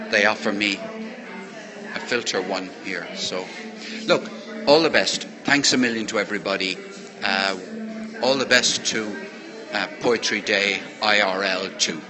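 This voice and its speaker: A man's voice: lowish voice, fairly even intonation, a little slow, pauses often. Rambling man livestreaming amid café chatter. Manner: rambling, effusively thankful to viewers. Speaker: poetry reader and livestream host. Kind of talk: casual livestream chat with viewers. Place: busy café. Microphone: handheld earbud microphone. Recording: live phone stream in busy café. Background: chatter of other people.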